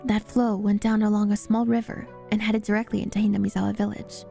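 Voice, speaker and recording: Creaky-voiced woman reading dramatic narration. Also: medium pitch, level tone, fast talker, dark tone, soft background music. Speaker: VTuber voice-acting a readalong.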